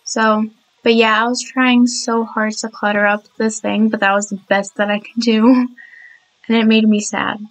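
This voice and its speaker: A woman's voice: on the high side, level tone, normal speed, poor audio. A young woman with a sore, cracking throat, rambling chattily and giggling through a voiceover of her own game building. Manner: chatty, giggly, apologetic, many restarts. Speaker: speed build narrator.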